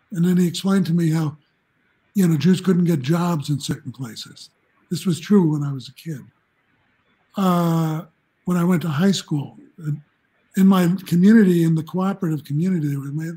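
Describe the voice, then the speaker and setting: This is an older man in his seventies, speaking from a room in his home over a video call. His voice is mellow and a bit husky, sitting somewhat high for a man. He tells stories in a loose, rambling way, chuckling and restarting his sentences. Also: low-quality recording. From New Jersey, United States. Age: 70s. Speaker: guest, journalism professor. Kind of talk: casual interview storytelling.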